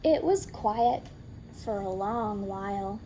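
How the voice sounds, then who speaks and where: Slow, expressive woman reading a picture book. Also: mid-range voice, slight background noise. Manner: slow, expressive and hushed at times. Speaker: storytime reader. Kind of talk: children's picture-book read-aloud.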